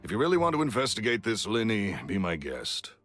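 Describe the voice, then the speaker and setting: A man's voice: on the low side, sing-song, a little fast, a bit bright, faint music behind. An actor playing a curt, hot-tempered, threatening businessman in a video game, cold and domineering. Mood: cold warning. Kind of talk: English video game voice acting.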